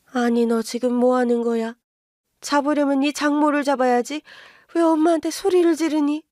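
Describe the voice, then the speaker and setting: A woman voicing a shrill, pushy older mother-in-law who scolds and accuses in a high, indignant voice. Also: steady tone, normal speed, darkish tone, no echo, clean studio sound, natural voice. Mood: outraged.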